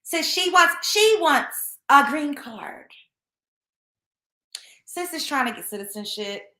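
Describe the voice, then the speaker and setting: Brief: young woman host, blunt and scolding, high bright voice, echoey room. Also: lively intonation, slow. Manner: blunt, scolding, emphatic, repeats points. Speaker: show host. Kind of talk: opinionated commentary monologue.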